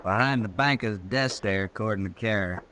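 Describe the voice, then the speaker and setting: An actor playing a frontier bank robber, a wary gang boss, in vintage radio drama style. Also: male voice, on the low side, moderate pace, bright, forceful tone. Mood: wary, commanding. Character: wary, bossy Old West bank robber gang leader. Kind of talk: 1950s radio drama acting.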